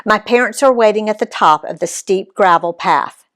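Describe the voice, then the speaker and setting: A woman reading her own travel story aloud in an expressive read-aloud style, giving the quoted people voices of their own. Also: mid-range voice. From Alabama, USA. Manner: unhurried, lively reading that voices the quoted characters.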